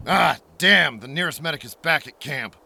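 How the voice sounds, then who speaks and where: A man playing a wary, authoritative military captain in a post-apocalyptic audio drama, briskly giving orders. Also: a little high, lively intonation, creaky, pushed and bright, full character voice. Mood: urgent, commanding.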